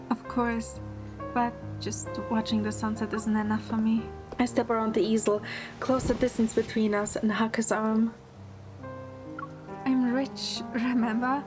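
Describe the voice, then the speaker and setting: Woman as higher-voiced romantic heroine narrating, loud music. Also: level tone, measured pace, pauses often, close to an everyday voice. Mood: tender, playful. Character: devoted, adventurous young woman in love, narrating. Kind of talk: dramatic scripted first-person narration. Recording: loud music bed under the voice.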